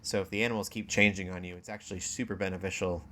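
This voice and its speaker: Low-voiced man chatting, noisy background. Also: little pitch movement, fast, a bit bright. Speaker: podcast host. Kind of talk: casual chat. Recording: clear background noise.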